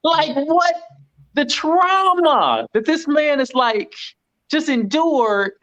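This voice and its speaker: A woman's voice: sits high, very animated, slow, a bit bright. A woman chatting casually about a film in a blunt, slangy, incredulous way.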